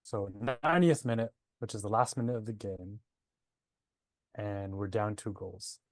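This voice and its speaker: A man's voice: fairly low pitch, moderate pace, no room sound. A man in his twenties from Nepal and Canada, telling his personal story in a conversational interview. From born in Nepal, moved to Canada at seven. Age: 20s. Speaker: interview guest, breathwork teacher.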